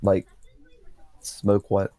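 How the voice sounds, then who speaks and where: A teenage boy co-hosting a casual friends' podcast, teasing the others with quick jokey questions. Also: mellow, no echo. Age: teens. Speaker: podcast co-host. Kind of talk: casual chat among friends.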